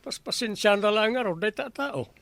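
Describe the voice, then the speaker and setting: Comic radio village captain, Ilocano accent, high voice with big swings, challenging and exasperated banter. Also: male voice, expressive, brisk, creaky, fairly bright tone, dry recording, heavily acted. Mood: firm, explaining. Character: male village captain testing recruits for watchman, bantering, exasperated. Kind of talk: Ilocano radio comedy drama acting.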